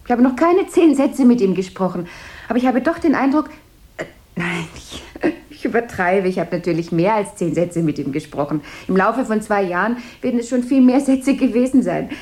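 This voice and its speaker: A woman's voice: medium pitch, expressive, normal speed, darkish tone, natural voice. A woman playing a chatty, flighty, deferential secretary in a radio play, rambling on and eager to placate. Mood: earnest, rambling. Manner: rambling, babbling small talk, eager to placate. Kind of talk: 1970s German radio play acting. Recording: noisy 1970s radio drama recording.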